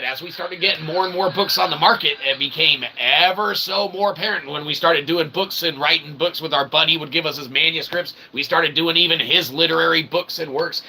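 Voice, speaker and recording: Man, very sharp forceful tone, heavy vocal fry, slangy rambling vlog host, sarcastic, faint background noise. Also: a little high, rough recording. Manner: sarcastic, slangy, joking asides. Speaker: vlog host and self-published author. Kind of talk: casual, rambling monologue.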